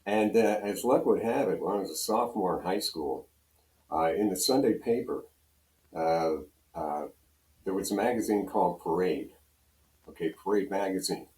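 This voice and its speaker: Low-voiced older American man, distant and echoey. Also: moderate pace, darkish tone. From Pennsylvania, USA. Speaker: radio program director and guest. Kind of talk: casual anecdotal storytelling. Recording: roomy echo, distant from microphone.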